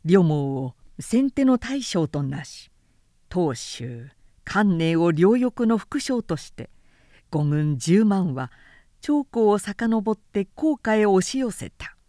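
A woman reads a historical novel aloud as a narrator, with measured, dramatic storytelling. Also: mid-range voice, sing-song, dark tone, dry recording, clean studio sound. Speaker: narrator reading literature aloud.

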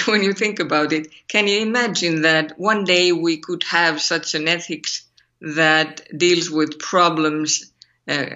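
Finnish woman guest, unhurried, thoughtful, dull lo-fi line. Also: on the low side, pushed and bright. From Finland. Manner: thoughtful, searching, asks rhetorical questions. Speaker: ethics scholar guest. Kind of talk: interview over a call.

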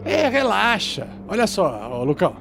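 A man's voice: sits high, huge pitch swings, full character voice. A man playing a fussy, suspicious germaphobe recluse in a tabletop roleplay, scolding and bossing theatrically. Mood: impatient. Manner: scolding, dramatic exclamations, drawn-out orders. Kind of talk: improvised tabletop roleplay acting. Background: background music.

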